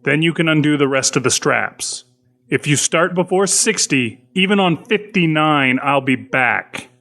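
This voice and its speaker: A man as a slow, hard-edged captor. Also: a little high, expressive, vocal fry, sharp tone, slight background noise, heavily acted. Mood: menacing, slow. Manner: slow, deliberate, commanding. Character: controlling male captor with a gun, giving orders. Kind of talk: audio fiction dialogue.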